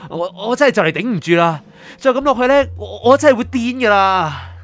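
A man plays a troubled, sleepless writer in a Hong Kong Cantonese radio drama. His fairly high voice sounds anxious, pleading and doubtful, with music running underneath. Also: big pitch swings, brisk, fairly bright tone, exaggerated voice. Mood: agitated, pleading. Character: troubled, sleepless male writer seeking his doctor's help.

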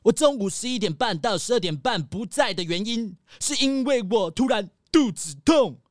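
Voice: medium pitch, expressive, normal speed, no room sound, clean studio sound, lightly acted. An actor voicing a vain, self-consciously cool wolf in a children's audio drama, boastful and fond of English catchphrases. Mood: boastful, indignant. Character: vain little wolf, keeper of the racing shoes.

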